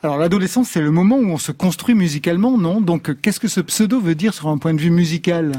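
A man with a notably high voice hosts a radio music program and puts questions to his guests in a light, teasing way. The sound is dry and close, with no room around it. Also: fast, warm tone, dry recording. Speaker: radio host. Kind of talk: radio interview.

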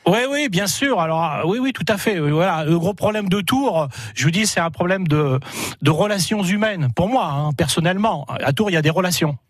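Older man, lively high voice. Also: brisk, no room sound, studio quality. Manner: talkative, animated, chuckles often. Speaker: retired journalist, book co-author. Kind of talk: radio interview.

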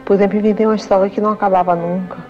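A woman speaking haltingly in an old archival interview, thinking aloud as she goes. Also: medium pitch, flat delivery, faint music behind. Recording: dull old archival recording.

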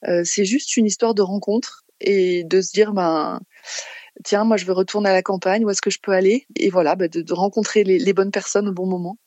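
A woman's voice: mid-range voice, steady tone, warm tone, no room sound. A woman with an accent from France giving spontaneous interview answers as a guest, searching for words and restarting phrases. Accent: French from France. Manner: halting, searching for words, repeating phrase starts. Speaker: interview guest, community association organiser.

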